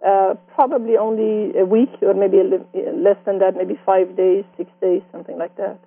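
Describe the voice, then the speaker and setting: A woman in her sixties from Iran with a Persian accent, telling her life story in an interview with reflective, gently amused warmth. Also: mid-range voice, fairly even intonation, moderate pace, no echo, faint music behind. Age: 60s. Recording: dry tinny phone-like sound.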